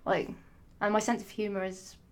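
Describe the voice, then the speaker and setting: A young woman, her voice hoarse, rambling sassily to camera with frequent swearing and restarts. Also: medium pitch, level tone, normal speed. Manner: rambling, sassy, swears often, many restarts. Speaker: vlogger. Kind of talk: rambling casual monologue.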